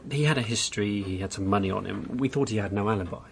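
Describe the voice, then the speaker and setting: An actor in a radio drama playing a bored, mischievous housebound husband, candid and needling in manner. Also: male voice, lowish voice, fast, vocal fry, a little hiss or hum. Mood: recounting.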